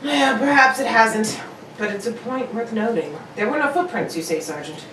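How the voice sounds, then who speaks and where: A woman playing a brisk, confident, analytical detective, reasoning precisely in the manner of an old radio mystery. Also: a little high, lively intonation, a bit bright. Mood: probing, thoughtful. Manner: precise, probing, theatrically emphatic reasoning. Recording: roomy, distant, noisy home recording.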